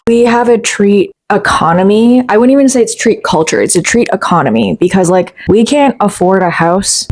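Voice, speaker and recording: Lower-pitched, frank, informal woman. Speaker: podcast co-host. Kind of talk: casual conversation.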